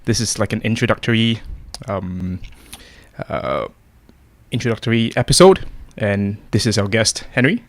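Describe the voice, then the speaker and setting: A man's voice: fairly low pitch, expressive, moderate pace. A man hosting a podcast, speaking in short, casual prompts with fillers. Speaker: podcast host. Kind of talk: casual interview.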